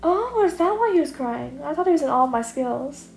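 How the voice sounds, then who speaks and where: Teen boy student played by a woman, sarcastic and nonchalant, high voice, roomy sound, fan story reading. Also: brisk, creaky, soft background music. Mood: flippant. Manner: flippant, deadpan excuses. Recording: echoey room, audible noise.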